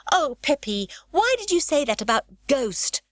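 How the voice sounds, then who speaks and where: Woman doing high eager little girl. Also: big pitch swings, measured pace, fairly bright tone, no echo, clean studio sound, exaggerated voice. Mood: frightened. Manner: high, breathless cries. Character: excited small girl.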